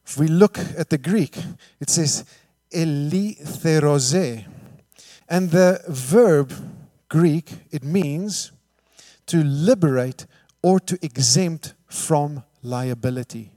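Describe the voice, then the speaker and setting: Guy preaching, kinda high voice, dry sound, lots of rhetorical questions, gets quiet then loud. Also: slow, dry recording. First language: Afrikaans. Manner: emphatic, rhetorical questions, swings from hushed to loud. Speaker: pastor. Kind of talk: sermon.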